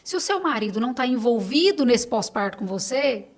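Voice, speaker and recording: Casting: Brazilian woman, late 30s, fairly high voice, interview guest giving emphatic, reassuring advice. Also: very animated, a little fast. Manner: emphatic, reassuring, advising listeners directly. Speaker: podcast guest; clinic owner advising on supplements. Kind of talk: conversational interview.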